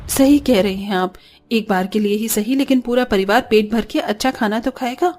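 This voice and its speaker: A woman voicing a poor, worried, gentle mother in a cartoon story, earnest and plaintive. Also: a little high, normal speed, natural voice.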